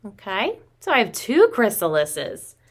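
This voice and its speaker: Woman preschool teacher in her 30s, takes it slow, simple words for little kids, doing a craft demo. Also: mid-range voice, wildly swooping pitch. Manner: simple, child-directed talk, addressing listeners as friends. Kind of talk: craft demonstration for young children. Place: small room at home.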